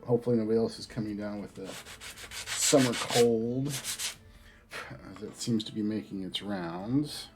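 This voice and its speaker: Stuffy middle-aged guy with a cold, lowish voice, chatting to stream viewers over faint music. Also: unhurried, pauses often, low-quality recording. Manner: relaxed, chatty, thinking aloud while crafting. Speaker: hobby livestream host. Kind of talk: casual chat while building a model.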